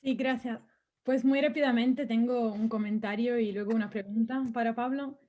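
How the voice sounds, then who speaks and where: Woman, native Italian speaker, mellow tone, fairly high, hesitant. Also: fairly even intonation, moderate pace, dull audio. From Italy. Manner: hesitant, repeats words. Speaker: seminar participant. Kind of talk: asking a question in a seminar.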